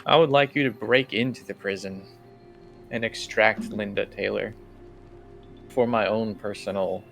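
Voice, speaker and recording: Man acting a male crime boss, gravelly fry, condescending, deliberate, formal, menacing, tabletop roleplay, faint music behind. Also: lowish voice, steady tone, fairly bright tone. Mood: calmly menacing. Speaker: guest game master.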